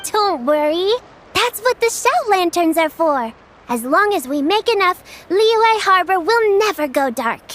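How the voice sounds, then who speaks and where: Casting: young girl game character, high and animated, kindly, sensible, talkative. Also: a little slow, full character voice. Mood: reassuring. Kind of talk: video game voice acting.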